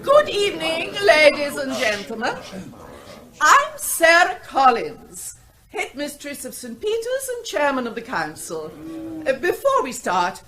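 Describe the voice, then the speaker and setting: Prim headmistress running a town meeting, high bright voice, old radio drama. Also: female voice, wildly swooping pitch, unhurried, exaggerated voice. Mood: formal. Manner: formal, calls the room to order. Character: brisk woman headmistress chairing a meeting. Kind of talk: vintage radio drama acting.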